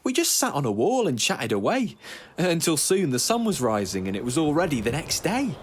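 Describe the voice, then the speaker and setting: A man's voice: a little high, huge pitch swings, moderate pace, slightly rough, full character voice. A young British man acting an anxious, self-doubting young man on a night out in a rhyming spoken-word audio play. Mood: calm, content. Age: young adult. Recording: music behind the voice. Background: music.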